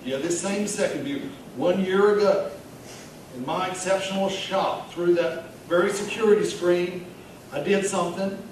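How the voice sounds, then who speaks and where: A folksy, jovial small-town pet store manager, played by a man reading a story aloud, boasts and confides like a chatty regular. The voice is on the high side, heard from a distance in a very echoey, noisy room. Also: normal speed, natural voice. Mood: confiding. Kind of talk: dramatic reading of short story monologue. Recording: very echoey room from afar, clear noise.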